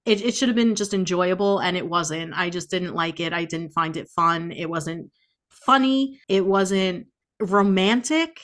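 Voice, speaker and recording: A woman's voice: on the low side, fairly even intonation, measured pace, a bit bright. Candid woman podcaster chatting casually. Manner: informal, candid, opinionated. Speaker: podcast co-host. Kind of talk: casual book-review chat.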